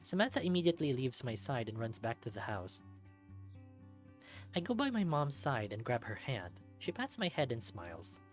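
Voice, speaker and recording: A woman's voice: extremely low pitch, big pitch swings, moderate pace. A woman reading a visual novel aloud as a let's-play narrator, with casual asides. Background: music.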